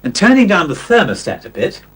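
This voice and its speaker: Male information-film presenter, mid-pitched, husky, with lively intonation. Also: fairly quick. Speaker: advice presenter. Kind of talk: scripted public information film advice.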